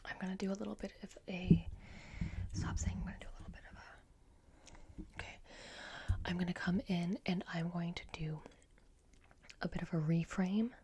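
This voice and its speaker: A woman whispering in a breathy, gentle and reassuring murmur as she performs a soft-spoken ASMR roleplay. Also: fairly low pitch, fairly even intonation, normal speed, long pauses, background noise.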